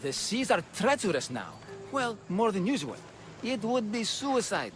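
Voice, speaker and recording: Higher-pitched haggling shipbuilder. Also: male voice, sing-song, a little slow, a little hiss or hum. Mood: firm, dismissive. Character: hard-bargaining, hearty male shipbuilder selling his ship. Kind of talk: video game cutscene acting. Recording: loud background music.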